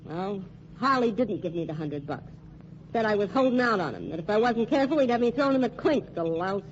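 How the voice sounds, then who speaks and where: An actress playing a tough, guarded nightclub singer, with an American accent and curt, slangy, defiant speech in old-time radio drama style. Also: female voice, medium pitch, animated, a little fast, close to an everyday voice. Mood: grudgingly confiding. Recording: dull, noisy old radio recording.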